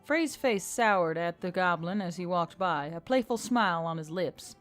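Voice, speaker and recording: A woman's voice: on the low side, expressive, normal speed, fairly bright tone. A woman reading a scripted fantasy story as an audiobook narrator. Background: music.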